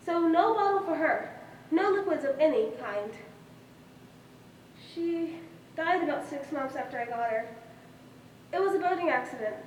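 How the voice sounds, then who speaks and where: A woman's voice: high voice, animated, normal speed, long pauses. A young woman on stage playing a self-assured, fussy girl who boasts in an emphatic, childlike, matter-of-fact way. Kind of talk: stage monologue in a one-act play. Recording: roomy, distant stage recording with noise.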